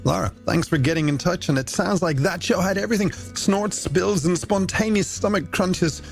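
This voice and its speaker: Irish man, radio host, playful scripted patter, higher-set voice, noticeable vocal fry. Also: normal speed, soft background music. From Ireland. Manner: wordy, alliterative, playful patter. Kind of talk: scripted late-night music radio presenting.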